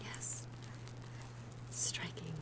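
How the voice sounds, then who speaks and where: A woman acts out a gentle young female alchemist for an ASMR roleplay. She speaks in a soft, breathy near-whisper at a very unhurried pace. Her manner is shy and tender, with small hesitations as she talks to her companion. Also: rough recording. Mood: gentle, focused.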